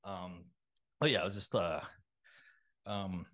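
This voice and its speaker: A man from a Los Angeles suburb, likely in his thirties, talking as a podcast guest in a halting, rambling way full of fillers and restarts. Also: low-pitched, a bit bright. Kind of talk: casual interview talk.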